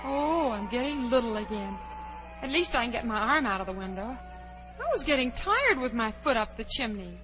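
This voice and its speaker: A woman's voice: fairly high pitch, sing-song, normal speed, over music. A woman playing a curious, chatty, plucky young girl who wonders aloud in a 1940s radio drama. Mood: puzzled, polite. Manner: wondering aloud, exclaiming, polite. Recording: dull, hissy 1940s radio recording.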